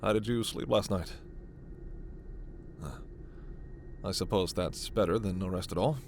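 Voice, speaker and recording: Male actor as scholarly alien doctor, sci-fi ASMR roleplay, protective, very slow measured delivery, formal diction, slight background noise. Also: low-pitched. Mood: polite, thoughtful.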